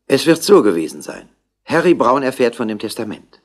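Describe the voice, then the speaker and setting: An actor playing an eager subordinate police inspector, reporting briskly and factually in a 1960s radio play. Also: male voice, mid-range voice, very animated. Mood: eager, speculating.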